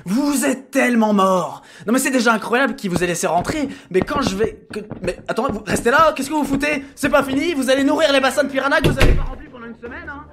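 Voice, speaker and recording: A man playing an excitable, sarcastic henchman in a comedic audio drama, with a chatty, nervy delivery. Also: very high-pitched, quick pace, background noise. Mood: indignant, sarcastic. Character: glib, excitable, sarcastic male clone henchmen.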